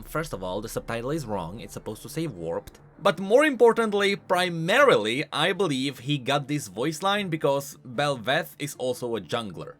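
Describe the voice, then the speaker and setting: A man hosting a video game lore explainer, speaking in an animated, emphatic way. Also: mid-range voice. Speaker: host of a game lore channel. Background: music.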